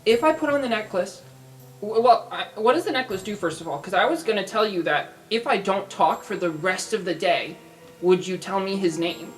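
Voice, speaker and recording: A player in a tabletop game describing their character's actions in a playful, unhurried way. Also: medium pitch, expressive, far from the mic, faint music behind. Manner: slow, playful, bursting into laughter. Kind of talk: casual tabletop play.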